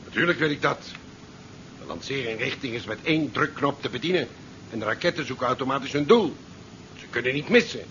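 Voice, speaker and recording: An actor playing a formal, dutiful naval commander giving orders in a 1970s Dutch radio drama. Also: male voice, mid-range voice, lively intonation, fairly quick, sharp tone. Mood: tense, dutiful. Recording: dull, hissy 1970s radio play recording.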